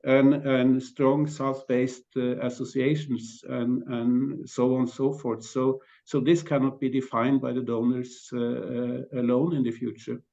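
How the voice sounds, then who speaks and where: A man with a Norwegian accent giving a conference presentation, speaking haltingly with repeated words and frequent throat clearing. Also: mid-range voice, flat delivery, mellow, dull audio. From Norway.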